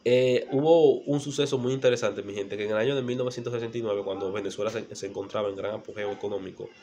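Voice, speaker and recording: A Dominican man with a fairly low voice gives an explanatory monologue as a channel presenter. He teaches as he talks, posing rhetorical questions and repeating key phrases. The home-made recording carries faint background noise. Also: steady tone, fast, warm tone. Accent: Dominican Spanish. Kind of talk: explanatory history monologue to camera.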